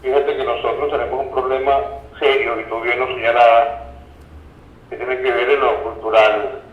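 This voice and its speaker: A man's voice: mid-range voice, flat delivery, normal speed. A Venezuelan man speaking as an expert guest in an interview, explaining ideas reflectively and didactically with word origins and everyday examples. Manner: didactic, reflective, checks in with the listener. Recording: roomy echo, dull lo-fi sound, faint noise.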